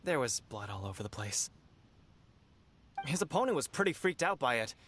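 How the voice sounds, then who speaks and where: A man's voice: medium pitch, very animated, normal speed, a bit bright, a little hiss or hum. A man playing a big, genial tournament fighter in a video game, talking in a chatty, florid and formal manner. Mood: exasperated storytelling. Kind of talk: video game character dialogue.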